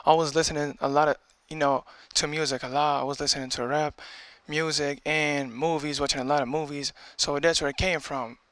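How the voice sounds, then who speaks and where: A man's voice: on the high side, fairly even intonation, brisk, few pauses, a bit bright, no echo. A young man from Algeria chatting casually, full of slang and filler phrases. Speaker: audience member. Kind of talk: casual chat. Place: TV studio.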